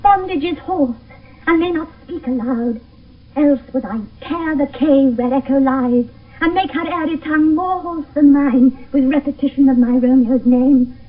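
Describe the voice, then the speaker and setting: A British actress playing an ardent, anxious young maiden in love, speaking verse in a theatrical classical radio drama style. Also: female voice, high-pitched, measured pace. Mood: longing. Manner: theatrical, fervent verse delivery. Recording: old lo-fi phone-like radio broadcast, distant.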